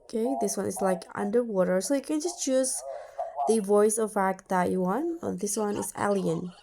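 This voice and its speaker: A woman's voice: mid-range voice, normal speed, gravelly, background noise. A woman hosting a tutorial, narrating an app walkthrough step by step. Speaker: tutorial host.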